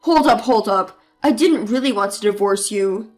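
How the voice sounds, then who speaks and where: A woman voicing a selfish, bullying husband who blusters and yells, then begs. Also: medium pitch, normal speed, faint music behind, pitched below the performer's own voice, lightly acted. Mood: pleading. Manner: blustering, yelling then pleading. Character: bullying adult male husband, selfish mama's boy.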